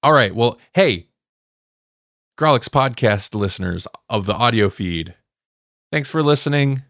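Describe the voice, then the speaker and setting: A man's voice: mid-range voice, expressive, measured pace, fairly bright tone, no echo, studio quality. A man hosting a podcast, chatting casually as he reviews stories. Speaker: podcast host.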